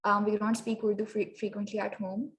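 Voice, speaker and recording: A young Pakistani woman presents a workshop in a relaxed, chatty way, her English carrying a clear Pakistani accent. Her voice sits at a middling pitch, and she leans on lots of ums and uhs, now and then dropping into Urdu. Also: brisk, poor audio. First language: Sindhi. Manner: chatty, many fillers, slips into Urdu phrases. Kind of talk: casual presentation.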